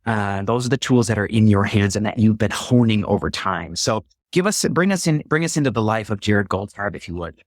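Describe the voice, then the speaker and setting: Male interview host, lower voice, dry close sound, unhurried, video call. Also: lively intonation, no echo. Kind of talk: interview introduction.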